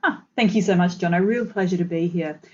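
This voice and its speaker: A woman's voice: on the low side, fairly quick, a little mellow, highs cut off. An Australian woman, a consultant guest on a podcast, telling her career story fluently and with enthusiasm. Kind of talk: podcast interview.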